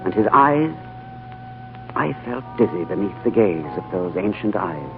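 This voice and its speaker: A man narrating a vintage radio play in a low, urbane voice that rises and falls. He sounds wry and measured. The audio is thin and phone-like, with music playing clearly underneath. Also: animated. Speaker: lead actor and narrator. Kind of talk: 1930s radio drama narration. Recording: tinny old radio broadcast with music.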